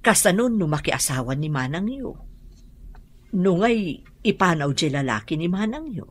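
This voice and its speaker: A matriarchal older aunt, very low and raspy, with widely swinging intonation. She is frank, protective and probing, in emphatic Ilocano radio drama style. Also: sing-song, moderate pace. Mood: concerned, reasoning.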